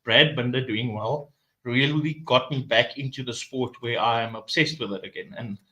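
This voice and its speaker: A man's voice: mid-range voice, moderate pace, pushed and bright, low-quality recording. A South African man, a native Afrikaans speaker with an Afrikaans accent, chatting on a podcast as a guest, often hesitating and restarting his sentences. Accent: Afrikaans-accented English. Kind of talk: casual podcast chat.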